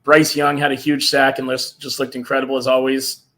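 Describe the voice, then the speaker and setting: Excited hoarse male sports fan host. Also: medium pitch, fairly quick. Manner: excited, reads viewer comments aloud. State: hoarse from cheering. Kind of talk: casual live-stream sports chat.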